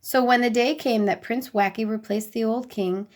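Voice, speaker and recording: Female storyteller, slightly high register, expressive and deliberate, for children's bedtime tales.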